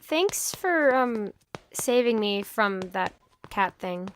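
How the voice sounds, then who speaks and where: A woman's voice: medium pitch, lively intonation, takes it slowly, background noise. A woman playing a nervous, naive young vault dweller in an audio drama, hesitant and wary in her delivery. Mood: wary, uneasy. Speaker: voice actor.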